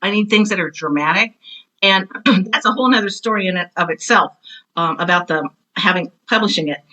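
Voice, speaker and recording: A woman's voice: mid-range voice, a little fast, bright, forceful tone, low-quality recording. A middle-aged American woman being interviewed, chatting enthusiastically and with self-deprecating asides, like a teacher telling her own stories. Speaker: podcast guest, teacher and author. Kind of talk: casual interview chat.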